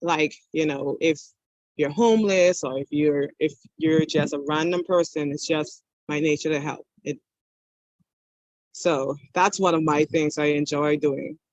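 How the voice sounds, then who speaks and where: Woman, 20s, podcast guest; slow, candid and halting; dry, lo-fi remote-call sound. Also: low-pitched, darkish tone, dry recording. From Bahamas. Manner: hesitant and candid, with many pauses. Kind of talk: personal storytelling in conversation.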